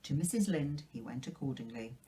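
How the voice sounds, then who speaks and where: A woman reading a children's classic aloud as a storyteller, in an expressive, measured narrating style. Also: very deep voice, far from the mic.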